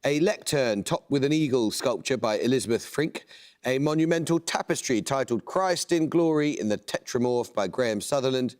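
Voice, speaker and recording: A man hosting a quiz show, reading questions and introducing teams in a measured, precise way. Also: medium pitch, animated, darkish tone. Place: TV studio.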